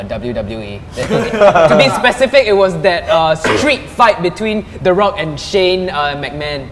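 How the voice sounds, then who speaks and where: A young man hosting a casual group chat and putting questions to the others. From Singapore and Cambodia. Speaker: host reading out questions. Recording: echoey hall with rumbling background noise.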